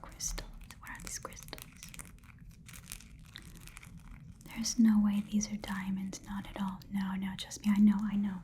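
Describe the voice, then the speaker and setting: A woman's voice: medium pitch, completely flat delivery, brisk, mellow, slight background noise, close to an everyday voice. A woman playing a chatty, nosy goblin pawn shop keeper in a whispered ASMR roleplay, rambling casually and muttering repeated words. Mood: skeptical.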